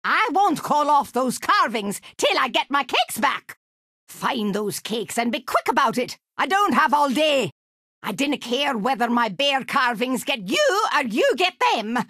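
Crotchety old Scottish witch, swooping high. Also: very animated, unhurried, sharp tone, slightly rough, dry recording, clean studio sound, exaggerated voice. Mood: demanding, irritated. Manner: theatrical, scolding, mock-sweet endearments. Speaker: video game character voice. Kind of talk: animated video game voice acting.

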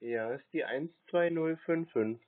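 A man speaking as a customer on a phone call, in a brief, deadpan manner. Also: on the high side, measured pace. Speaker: prank caller posing as customer. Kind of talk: terse phone conversation. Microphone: telephone. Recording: tinny, narrowband phone line.

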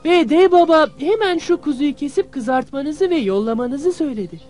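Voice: high voice, animated, moderate pace, darkish tone, soft background music. A performer voicing a clever, cheeky young boy in an old fairy-tale cartoon, polite and earnest with a crafty streak. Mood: eager, wheedling.

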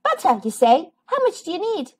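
Storybook porcupine played by a woman: female, kind and excitable, high voice, very lively, eager sing-song. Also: normal speed, exaggerated voice. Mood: generous, helpful.

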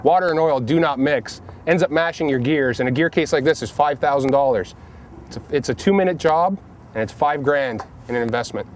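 A man with a Canadian accent giving practical, plain-spoken how-to tips as a pro angler, emphasizing key points in an instructional way. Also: fairly high pitch. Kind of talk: practical how-to demonstration talk. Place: outdoors beside a boat and trailer. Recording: outdoor vehicle and ambient noise.

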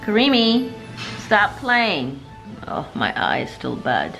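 A woman's voice: mid-range voice, big pitch swings, slow pace, creaky, a bit bright, poor audio. A middle-aged woman hosting a casual home vlog, playfully stretching and repeating words for emphasis. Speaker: family vlogger, host. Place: home dining room and kitchen. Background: music.

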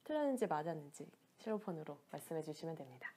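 A woman's voice: deep voice, big pitch swings, fast talker, breathy edge. A young woman hosting a casual livestream interview, asking questions and passing along viewer comments in a small indoor room. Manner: bright, chatty, reads viewer comments. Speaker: interviewer host.

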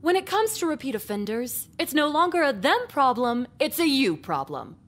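An animated, stern school disciplinarian. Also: female voice, high voice, moderate pace. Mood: exasperated, stern. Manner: stern, sardonic. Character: exasperated female school staffer scolding repeat offenders.

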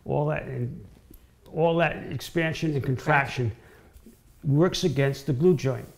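A man's voice: fairly high pitch, a little slow, vocal fry, a little mellow. A man in his sixties explaining things informally in a demonstration, often repeating and restarting his phrases. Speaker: guest woodworker explaining cutting boards. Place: home-style kitchen, indoors.

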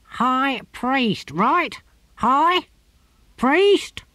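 Om, a raging tortoise god. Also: male voice, sits high, slow, no room sound, pitched above the performer's own voice. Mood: imperious, furious. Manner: shouting commands and curses. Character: small old tortoise god, imperious, furious.

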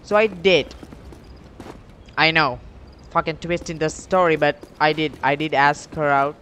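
A man's voice: on the high side, steady tone, measured pace, sharp tone. Slangy, swearing young man over noisy background. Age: young adult. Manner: slangy, heavy swearing, rambling. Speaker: gaming video creator telling stories over gameplay. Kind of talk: casual storytelling mixed with game commentary.

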